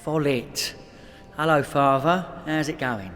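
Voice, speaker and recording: A man's voice: a little high, takes it slowly, fairly bright tone, soft background music. A man with a London accent playing a boastful, indignant petty crook in a comedy radio play. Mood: defensive.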